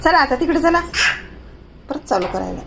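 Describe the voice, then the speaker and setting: A very high-voiced woman with a rural Marathi accent talks to her toddler in a lively, swooping way. She scolds and coaxes in short, repeated commands. The home recording has clear background noise. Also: normal speed. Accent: Marathi, rural Maharashtra. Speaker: mother filming her toddler for a family vlog. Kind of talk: casual home chat with a small child. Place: indoors at home. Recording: noisy home phone recording.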